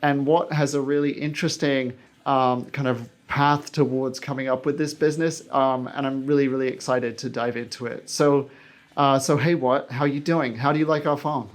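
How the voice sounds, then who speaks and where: A man's voice: mid-range voice, steady tone, normal speed. A man hosting a podcast, interviewing a guest in a conversational, enthusiastic manner. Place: small basement room on an indoor farm.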